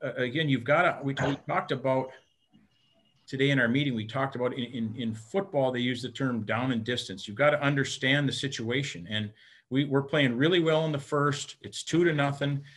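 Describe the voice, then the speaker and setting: A middle-aged man, a hockey head coach, answering postgame questions frankly over a video call, with halting restarts and many fillers. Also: mid-range voice, fairly bright tone. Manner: plain-spoken, frank. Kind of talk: postgame press conference answers. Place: indoor room in front of team backdrop. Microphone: webcam on video call.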